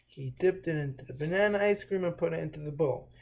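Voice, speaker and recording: A man reads a children's storybook aloud as host. His voice is mellow and fairly high for a man, with frequent creak, and he reads in a slightly halting way.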